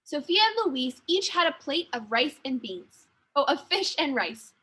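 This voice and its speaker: High, animated woman reading a storybook. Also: a little slow. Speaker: second grade teacher reading aloud. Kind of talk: expressive children's story narration.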